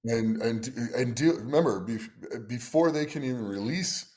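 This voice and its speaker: A middle-aged man hosts a casual podcast chat, his voice sitting at a medium pitch. He tells stories with excitement, stumbling over restarts and repeated words as his enthusiasm runs ahead of him. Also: moderate pace. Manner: excitable, stammering restarts, animated storytelling. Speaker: podcast host.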